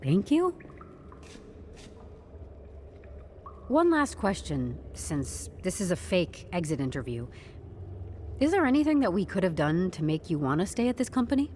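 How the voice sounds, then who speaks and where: A woman's voice: on the low side, sing-song, normal speed, a little mellow, slight background noise. A woman playing a wry, flustered office employee in an audio drama, informal and sarcastic. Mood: wary, wry.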